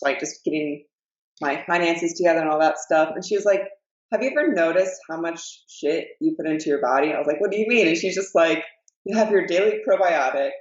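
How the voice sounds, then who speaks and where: A woman's voice: sits low, lo-fi sound. Upbeat young woman in a roomy space. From Iowa. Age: 20s. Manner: upbeat, storytelling. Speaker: guest, fitness coach. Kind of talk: casual chat.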